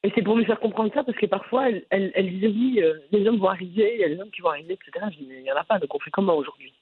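Woman, Brussels accent, lively frank guest, restarts often, phone-quality audio. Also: mid-range voice, rapid-fire, breathy edge, dry recording. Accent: Brussels French. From Brussels, Belgium. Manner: animated, frank, many restarts. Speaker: content creator guest. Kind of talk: interview answers.

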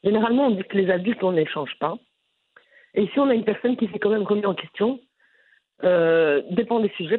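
A woman from Brussels with a Brussels French accent, answering interview questions in an animated, frank way with frequent restarts. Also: lowish voice, husky, no echo. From Brussels, Belgium. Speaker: content creator guest. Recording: phone-line audio.

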